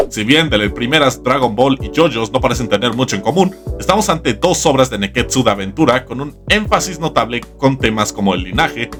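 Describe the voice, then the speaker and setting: A man hosting a scripted comedic video essay with wry, theatrical emphasis. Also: mid-range voice. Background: background music.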